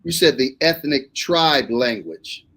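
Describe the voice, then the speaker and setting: A man with an American accent, interviewing casually as host, folksy and quick to interject and react. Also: mid-range voice, lively intonation, sharp tone, slightly rough.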